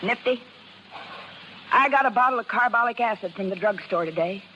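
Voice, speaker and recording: An actress playing a tired, broke carnival dancer in a 1930s American radio drama, speaking hesitant, folksy slang. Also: female voice, fairly high pitch, normal speed, pushed and bright, highs cut off, slight background noise. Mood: despairing, resigned. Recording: vintage 1930s radio, faint music behind.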